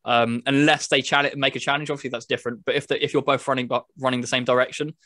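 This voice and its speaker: A man's voice: medium pitch, level tone, quick pace, pushed and bright, dry recording, studio quality. A man chatting casually as a podcast host, reviewing a video game.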